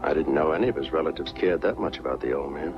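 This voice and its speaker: Fast-talking deep-voiced noir investigator. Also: male voice, music in the background. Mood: politely insistent. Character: persistent, brisk male investigator in film noir. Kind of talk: 1940s film noir acting. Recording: muffled 1940s film soundtrack.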